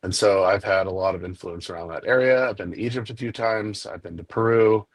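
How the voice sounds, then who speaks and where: A man in his forties who grew up in Nepal, talking as a guest on a video-call panel in an earnest, halting way. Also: lowish voice.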